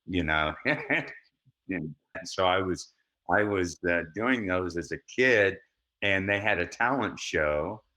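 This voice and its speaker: A middle-aged man with a very low, deep voice chats as a podcast guest. He recounts his past in an easygoing way, laughing often and restarting his sentences as he goes. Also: expressive, slow, pushed and bright. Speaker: actor guest. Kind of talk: conversational storytelling.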